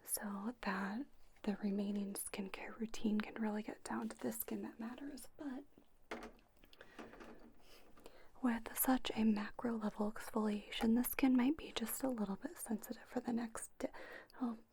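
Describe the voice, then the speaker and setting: A woman whispering softly and breathily in a gentle, unhurried ASMR roleplay, reassuring as she gives instructions like a spa owner. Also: on the high side, steady tone, a little hiss or hum.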